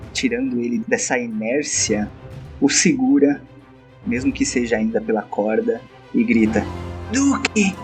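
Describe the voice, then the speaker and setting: A man narrates his own character's deeds in a tabletop game. His voice sits at a middle pitch and his telling is dramatic and story-like. Music is clearly present behind him. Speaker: role-play game player.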